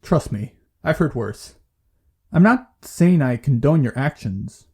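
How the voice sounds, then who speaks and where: Actor as mellow, reassuring male therapist. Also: mid-range voice, expressive, slightly rough, exaggerated voice. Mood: frank, calm. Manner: measured, gently firm, supportive. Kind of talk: audio play dialogue.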